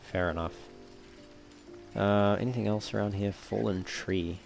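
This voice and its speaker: A man with a low voice and a creaky, fry-edged delivery commentates on a game. He talks casually, as a let's play host does, over faint background music. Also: steady tone, unhurried, darkish tone. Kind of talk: casual gameplay commentary, reading item text aloud.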